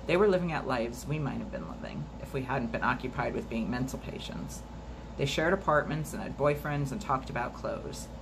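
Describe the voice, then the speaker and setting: A woman's voice: rumbling low, creaky. Woman reading aloud over background noise. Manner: steady measured reading with occasional slips and restarts. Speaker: storytime reader.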